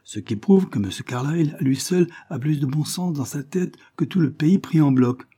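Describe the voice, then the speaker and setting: A man reading an audiobook, voicing a brash, quick-tempered young maid in a raised, vehement voice. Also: warm tone, husky. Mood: indignant. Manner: high-pitched, vehement, indignant. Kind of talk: audiobook character voice.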